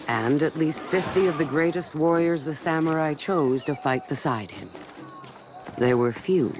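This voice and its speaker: An actor playing a calculating warlord who narrates a video game war in a measured, ominous way. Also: male voice, on the high side, background noise, close to an everyday voice. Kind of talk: dramatic scripted game narration.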